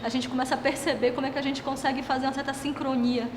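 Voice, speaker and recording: Fast woman from Bahia, echoey hall. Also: sits high, noisy background. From Salvador, Bahia, Brazil. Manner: fluent, animated. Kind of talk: interview answer.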